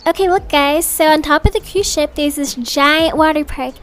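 A woman's voice: high-pitched, moderate pace, faint music behind, lightly acted. A woman playing an upbeat, chatty young mother in an improvised family roleplay, casual, reassuring and gushing.

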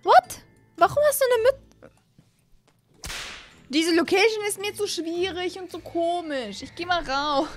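A woman in her twenties giving casual, rambling let's-play commentary in an expressive way, close on a studio microphone. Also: very high voice, a little slow, pauses often, faint music behind. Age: 20s. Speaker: host of a gaming channel. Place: small indoor streaming room at home. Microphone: close studio microphone on an arm.

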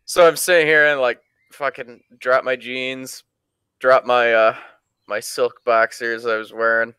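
Male streamer, unhurried delivery, clean smooth voice, casual storytelling, coarse language full of swearing. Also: medium pitch, level tone, pushed and bright, no echo. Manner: crude, heavy swearing. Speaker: streamer telling stories. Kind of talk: casual storytelling to friends and chat.

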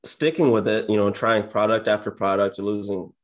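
A man in his twenties chatting casually as an interview guest on a video call, in a low-key, monotone manner. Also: brisk. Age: 20s. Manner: low-key, introverted, self-described monotone. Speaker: interview guest, real estate agent and YouTuber. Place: indoor home office, on a video call.

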